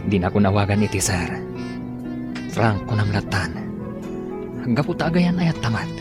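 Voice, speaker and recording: A man's voice: lowish voice, big pitch swings, moderate pace. A man playing an earnest, insistent well-off suitor courting a housemaid in an Ilocano radio drama. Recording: radio drama with music underneath.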